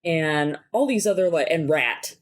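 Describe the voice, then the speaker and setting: A woman's voice: low-pitched, animated, unhurried. A woman, chatting casually and telling stories as a podcast co-host. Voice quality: husky smoker's voice. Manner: chatty, laughs easily, storytelling. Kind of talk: casual chat.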